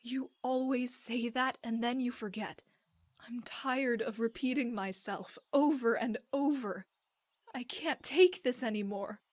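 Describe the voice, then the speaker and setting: Lady playing tired hurt wife, highish voice, dry sound, pleading n reproachful, game drama. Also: measured pace, darkish tone, no room sound. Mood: weary, pleading. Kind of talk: dramatic game cutscene acting.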